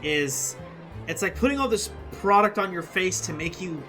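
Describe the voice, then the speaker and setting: A man giving casual, rambling gameplay commentary as a streamer, slangy and quick to react. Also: high voice, expressive, sharp tone. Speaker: video game streamer. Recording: faint game music behind.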